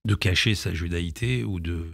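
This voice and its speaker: Low-voiced man, halting then emphatic. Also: creaky, fairly bright tone, no echo, studio quality. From Israel, grew up in France. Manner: hesitant, many restarts, then emphatic. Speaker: film director and actor, guest. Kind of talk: radio interview answers. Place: radio studio.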